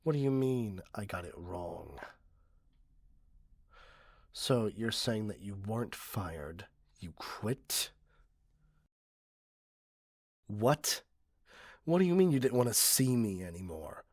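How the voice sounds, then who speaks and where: A man plays an obsessive, entitled middle-aged customer turned captor in an ASMR roleplay. The voice is deep and breathy-husky, recorded very dry and close. The delivery is self-righteous and condescending, with a cloying possessiveness. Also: very animated, slow pace, pauses often, a little mellow, no room sound, heavily acted. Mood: shocked, hurt. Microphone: close, dry microphone.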